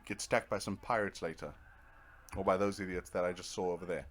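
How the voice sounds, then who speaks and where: A man's voice: low-pitched, steady tone, quick pace. A man giving casual let's play commentary, rambling to himself in a wry, self-deprecating way. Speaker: gaming video host.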